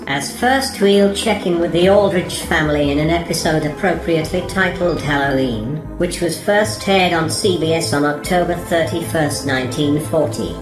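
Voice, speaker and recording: A woman host reading a scripted, spooky-themed introduction to an old-time radio program. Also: sits low. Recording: echoey room with prominent music behind. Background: music.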